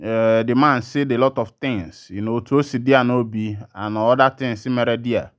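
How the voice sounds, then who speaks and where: A man's voice: mid-range voice, steady tone, normal speed. A man presenting news commentary in a chatty, casual way.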